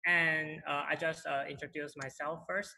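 A man in his twenties with a Taiwanese accent, a native Mandarin speaker, moderating an online presentation in halting, non-native phrasing. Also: fairly high pitch, level tone, creaky, pushed and bright. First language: Mandarin Chinese. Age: 20s. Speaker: panel moderator. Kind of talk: online presentation with self-introduction. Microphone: video call.

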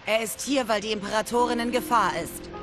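An actress playing a stern, loyal royal guard warrior burdened by past failure, in dramatic video game dialogue. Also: female voice, a little high, steady tone, a little fast, music in the background, close to an everyday voice. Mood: earnest, firm. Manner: solemn, resolute.

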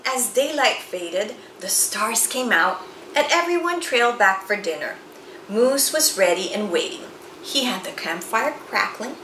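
A woman in her forties reads a picture book aloud, her voice high, bright and lively as it rises and falls through the story. She takes each line slowly and expressively, in an echoey room with a faint hiss of noise. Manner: expressive storytelling, slow and animated. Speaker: children's book reader. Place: room with bookshelves and chalkboard, indoors.

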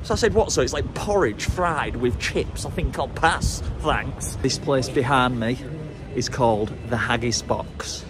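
A man's voice: mid-range voice, a little slow, background noise. A young man talking casually to a handheld camera on city streets, chatty, cheeky and playful. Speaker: travel vlogger. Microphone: handheld camera at arm's length.

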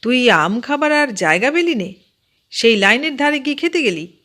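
A woman voicing an excitable, boastful, easily flustered uncle who works on the railway, in a storybook reading. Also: very high voice, moderate pace, pitched above the performer's own voice, exaggerated voice. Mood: alarmed, scolding.

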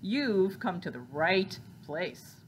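A woman voicing a hungry, gruff male fox in a storybook, boastful and growling, eager for his dinner. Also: on the low side, big pitch swings, slow, a little hiss or hum. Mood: gloating.

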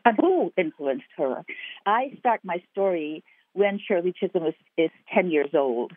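A woman's voice: on the low side, animated, a little slow, no room sound. A woman from Guyana, an actor and playwright, speaking earnestly in an interview and building her points in repeated phrases. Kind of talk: interview over the phone. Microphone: telephone. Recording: tinny phone line.

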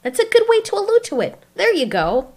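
A woman's voice: extremely high pitch, wildly swooping pitch, brisk, darkish tone, slight background noise. A woman giving casual reaction commentary in a sarcastic, exasperated manner. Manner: sarcastic, exasperated, mildly profane. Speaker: reaction video host.

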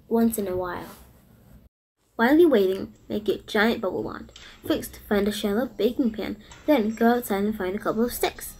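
A woman with a slightly husky voice giving simple step-by-step instructions as a voice-over for a children's craft. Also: a little high, a little mellow, low-quality recording.

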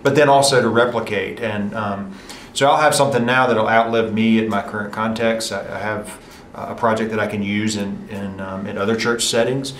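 A pastor from Alabama speaking hesitantly with frequent fillers, standing in a church atrium. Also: male voice, lowish voice, fairly even intonation, normal speed, a bit bright, echoey room, a little hiss or hum. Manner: hesitant, many ums and restarts. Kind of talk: casual group testimonial.

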